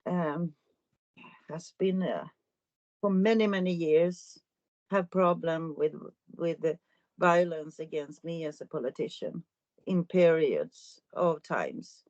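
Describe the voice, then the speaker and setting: A middle-aged woman from Sweden, a local politician, speaking haltingly with many restarts in a webinar. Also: low voice, lively intonation, darkish tone, no echo. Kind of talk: webinar testimony. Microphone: video call. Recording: dry, dull video call audio.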